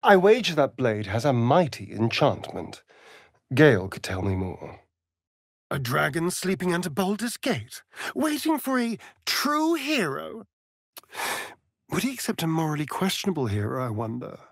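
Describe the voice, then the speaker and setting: A man's voice: fairly high pitch, huge pitch swings, normal speed, creaky, no echo, studio quality, heavily acted. A man with an English accent playing a sardonic, aristocratic vampire rogue in a video game, arch, drawling and haughty. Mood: teasing. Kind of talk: video game character dialogue.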